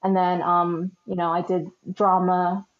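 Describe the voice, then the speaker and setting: A woman's voice: on the low side, flat delivery, a little slow. A woman born in the Philippines, a guest chatting casually in an interview, with lots of fillers and easy laughs. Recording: slightly dull lo-fi sound.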